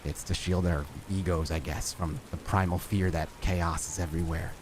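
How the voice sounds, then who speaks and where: A man voicing a plain, brooding, remorseful man in a hushed, halting, weary voice for a tabletop horror game. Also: low-pitched, steady tone, noisy background, lightly acted. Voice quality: hushed, sighing.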